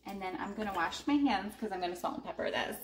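A woman casually talking through her meal prep in a home kitchen, relaxed and chatty with lots of ums. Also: medium pitch, quick pace, a little hiss or hum. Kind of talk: casual cooking vlog narration. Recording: echoey kitchen with clattering dishes. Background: clinking cutlery, dishes and pans.

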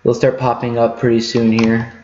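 A man giving a casual, unscripted video game walkthrough, halting and rambling as he thinks aloud. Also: medium pitch, monotone, background noise. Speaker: gaming tutorial host. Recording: muffled lo-fi mic in echoey room.

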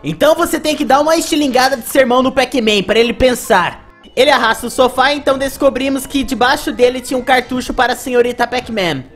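A woman's voice: high-pitched, fast. A woman with a Brazilian accent narrating video game play as a reviewer, with music behind. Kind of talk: gameplay commentary narration.

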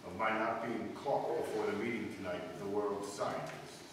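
A man playing a grave, commanding spaceman in human guise in an old-time radio drama, solemn and deliberate. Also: lowish voice, steady tone, close to an everyday voice. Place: live stage in a hall, distant audience camera. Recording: echoey noisy hall stage, far off.

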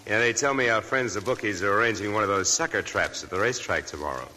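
An actor playing a mocking, sly male newspaper reporter in a 1940s radio drama, taunting with drawn-out sarcasm. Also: lowish voice, bright, forceful tone. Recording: old 1940s radio broadcast with hall echo.

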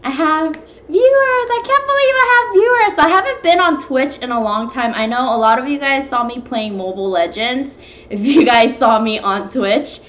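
Young female live-stream cooking host, high pitch, casual meandering chat, dull room sound with music behind. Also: lively intonation, normal speed, fairly bright tone. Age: young adult. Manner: informal, meandering, greeting viewers. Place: small home room, indoors at a table. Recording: echoey, dull webcam stream, background music.

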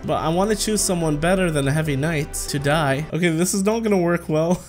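A man's voice: on the high side, fairly quick, faint music behind. A man in his thirties giving casual, playful gameplay commentary as a gaming video host, talking to the game and chuckling often.

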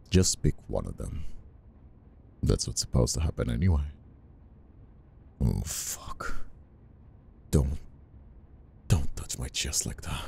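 A man playing a flirtatious, dominant boss in an intimate ASMR roleplay, murmuring slowly and breathily with a deep, gravelly voice. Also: mellow, exaggerated voice. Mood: conflicted, yearning. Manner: slow, breathy murmuring with sighs. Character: flirtatious, conflicted male boss, dominant and teasing. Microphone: close ASMR microphone.

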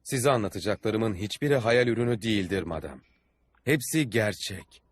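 A man's voice: lowish voice, lively intonation, normal speed, husky, dry recording. A man playing a seasoned Venetian explorer captain in a dubbed cartoon, earnestly recounting his adventures. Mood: earnest storytelling. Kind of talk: dubbed cartoon dialogue and storytelling. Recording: background music under the voice.

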